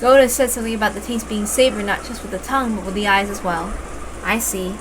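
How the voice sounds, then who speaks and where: A woman reading a visual novel aloud as expressive first-person story narration, with emphatic openings to phrases. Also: mid-range voice, fast. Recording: noticeable background noise.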